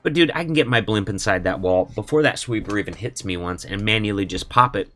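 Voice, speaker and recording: A man with a head cold and a sniffly voice, giving relaxed, chatty commentary over his own game attacks. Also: lowish voice, a little fast. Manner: relaxed, chatty, says "man" and "all right?" often. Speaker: gaming YouTuber. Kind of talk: casual gameplay commentary.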